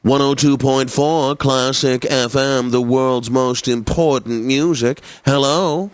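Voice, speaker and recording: An actor playing a wry, pompous classical radio DJ in a video game, delivering announcements in a polished, theatrical manner. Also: male voice, medium pitch, steady tone, takes it slowly, dry recording, lightly acted. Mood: smooth announcing. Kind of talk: radio weather reports and station idents.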